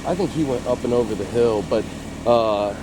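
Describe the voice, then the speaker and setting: A man's voice: mid-range voice, moderate pace. A man co-hosting a vlog, chatting casually to viewers while out and about. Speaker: vlog co-host. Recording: clear outdoor and vehicle noise.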